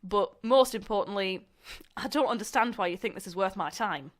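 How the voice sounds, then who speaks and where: A woman's voice: mid-range voice, moderate pace, close to an everyday voice. A young woman acting as a university student in a comedic audio drama. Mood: condescending. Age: young adult. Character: young female student journalists and theatre director sparring.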